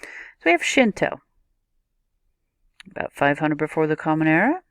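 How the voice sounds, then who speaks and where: Low-voiced woman teacher talking to viewers, methodical, dry close sound. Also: sing-song, moderate pace, no room sound. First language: not a native Japanese speaker. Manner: explanatory, methodical, addresses viewer directly. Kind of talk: recorded video lecture.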